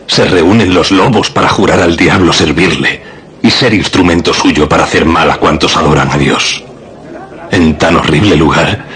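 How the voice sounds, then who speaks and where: Deep-voiced, portentous shepherd. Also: male voice, a bit bright. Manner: portentous, dramatic, emphatic. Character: solemn, fearful shepherd swearing to a horror. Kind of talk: Spanish radio drama acting.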